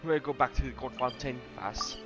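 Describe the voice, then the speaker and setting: A man giving casual gameplay commentary as a streamer, halting and repeating his phrases. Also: medium pitch. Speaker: video game streamer. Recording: loud game music behind.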